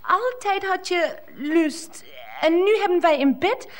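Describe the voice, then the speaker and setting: A woman's voice: very high voice, expressive, takes it slowly, exaggerated voice. An actress playing an amorous, coaxing German girlfriend with a German accent, cooing endearments and slipping German words into her Dutch in a radio play. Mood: plaintive.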